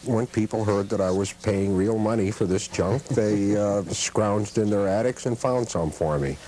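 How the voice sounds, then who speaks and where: A middle-aged man giving halting, measured answers as an interview guest in his own home. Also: on the low side, mellow, slight background noise. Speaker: guest, radio memorabilia collector. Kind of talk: casual interview recollection.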